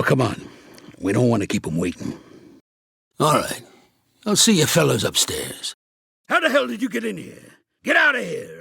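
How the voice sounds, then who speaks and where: An actor playing a gruff, streetwise older mobster in a video game, with an American accent, a raspy voice and a blunt, slangy, commanding way of talking. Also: male voice, medium pitch, dry recording, studio quality, heavily acted. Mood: warm, confiding. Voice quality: raspy, gravelly. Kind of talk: video game character acting.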